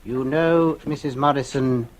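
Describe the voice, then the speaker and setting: An actor playing a greedy charlatan doctor in an old-time radio suspense drama, hesitant and insinuating. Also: male voice, mid-range voice, fairly even intonation, unhurried, a little hiss or hum, natural voice. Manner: hesitant, insinuating, mock-reluctant.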